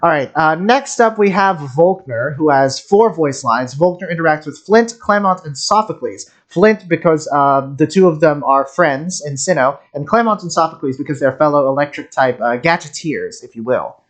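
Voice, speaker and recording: A streamer of middling pitch talks through game content off the cuff. The delivery is wry and geeky, wandering as thoughts arrive. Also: animated, normal speed, hardly any pauses. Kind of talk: casual stream commentary on game voice lines.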